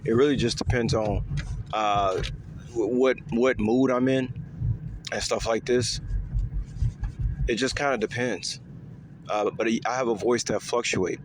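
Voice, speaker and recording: A man talking in a casual, rambling monologue to his viewers, repeating himself with lots of fillers and letting his voice swing higher and lower. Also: fairly even intonation, unhurried, long pauses, slight background noise. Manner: pitch swings up and down. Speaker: vlogger.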